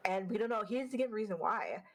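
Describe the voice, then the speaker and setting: A woman with a deeper voice and a slight stutter, chatting fast and bluntly as an interview guest, swearing freely. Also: animated. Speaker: vtuber guest. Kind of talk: casual interview chat.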